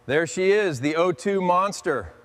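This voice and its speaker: A man hosting and narrating a restoration in a scripted, calm workshop commentary style. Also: high-pitched, unhurried. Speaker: host narrating a motorcycle restoration. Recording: long hall-like reverb.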